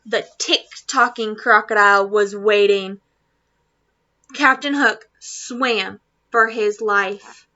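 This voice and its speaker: A woman teacher reading a children's storybook aloud to students, expressively and deliberately. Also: medium pitch, steady tone, bright, forceful tone, lo-fi sound. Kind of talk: children's storybook read-aloud in Spanish and English.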